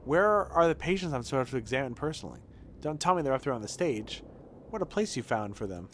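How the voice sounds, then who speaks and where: A man voicing a curt, condescending city doctor in video game dialogue, with a brisk, dry delivery. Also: medium pitch, big pitch swings, slight background noise, close to an everyday voice. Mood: dry, impatient.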